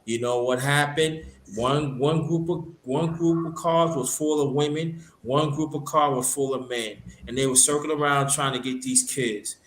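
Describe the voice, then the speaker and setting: A man's voice: fairly high pitch, fairly even intonation, normal speed, few pauses, echoey room, slight background noise, poor audio. A man from Brooklyn, talking earnestly in casual chat, often repeating and stumbling over words. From Brooklyn, New York.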